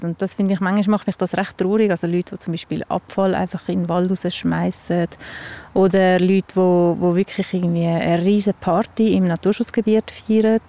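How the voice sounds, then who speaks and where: A woman's voice: on the low side, dark tone. A woman with a Swiss German accent, a forest ranger explaining nature to a child in spontaneous speech outdoors.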